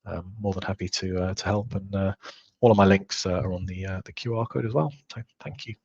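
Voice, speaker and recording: A man in his thirties with a croaky, hoarse voice, giving a relaxed webinar presentation from a home office over a headset. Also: low-pitched, brisk, highs cut off. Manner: relaxed, informal, frequent fillers. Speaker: guest presenter.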